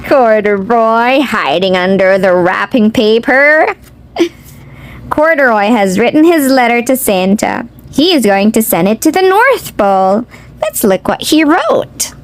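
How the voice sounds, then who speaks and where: A woman reading a picture book aloud to young children in a sing-song, exclamatory way, speaking directly to them. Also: sits high. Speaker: children's read-aloud host.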